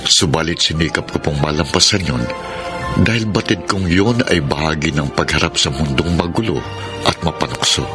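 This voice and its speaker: A man playing a remorseful, affectionate middle-aged father freed from prison, earnest and heartfelt, in a Filipino radio drama. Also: very deep voice. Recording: prominent music under the voice.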